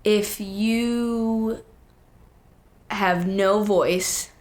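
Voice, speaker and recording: A teenage young woman, a guest singer, telling stories in casual, animated chat full of quoted asides. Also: medium pitch, extremely slow.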